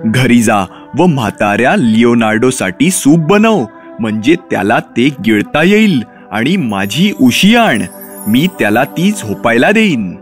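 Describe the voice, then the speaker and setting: A man voicing a farmer who owns an old dog, in a children's animated fairy tale, speaking emphatically. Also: medium pitch, a little slow, faint music behind. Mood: caring.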